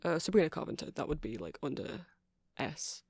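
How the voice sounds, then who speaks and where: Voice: mid-range voice, animated, brisk, a little mellow, breathy edge, no room sound, studio quality. A performer of unclear sex playing a nerdy, eager, apologetic music-shop clerk in an ASMR roleplay, hesitant and rambling. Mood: helpful, rambling. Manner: hesitant, rambling, self-deprecating, nervous little laughs.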